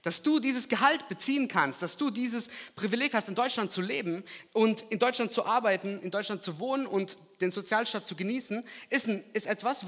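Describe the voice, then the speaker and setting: A man from Kazakhstan preaching a sermon, swinging from loud emphatic lines to quiet, hushed asides. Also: high-pitched. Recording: long hall reverb.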